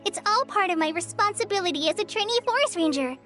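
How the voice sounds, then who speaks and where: An actress playing a shy, eager young trainee forest ranger who stammers when flustered. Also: female voice, very high voice, animated, quick pace, bright, forceful tone, soft background music, full character voice. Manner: timid, stammering. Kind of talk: video game voice acting.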